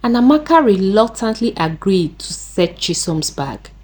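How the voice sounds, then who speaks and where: A woman narrating a scripted folktale in a deliberate, dramatic way. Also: medium pitch, very animated, a little mellow, breathy edge. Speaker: storyteller.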